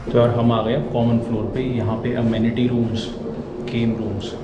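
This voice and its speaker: A man's voice: medium pitch, little pitch movement, moderate pace, lo-fi sound, very noisy. Chatty man touring echoey rooms. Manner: chatty, mixes English phrases into Hindi. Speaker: vlogger giving a tour. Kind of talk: casual Hindi-English tour narration. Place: new apartment building, rooms and rooftop. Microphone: handheld action camera, wide angle. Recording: echoey empty rooms, music underneath.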